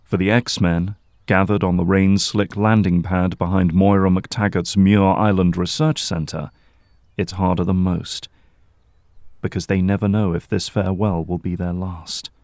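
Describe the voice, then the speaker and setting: A man's voice: sits low, level tone, a little slow, a little mellow, dry recording, studio quality. A man delivering grave, florid scripted narration for an audio drama.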